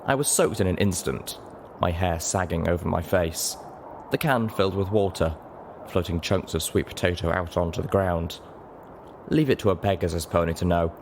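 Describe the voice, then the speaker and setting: A man reading a story aloud in expressive scripted narration. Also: low-pitched, moderate pace, background noise. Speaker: audiobook narrator.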